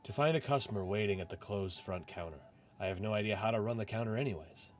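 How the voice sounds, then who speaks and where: A man's voice: lowish voice, quick pace, natural voice. A man voicing a disillusioned, reflective male office clerk who narrates his own life in a visual novel. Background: game music.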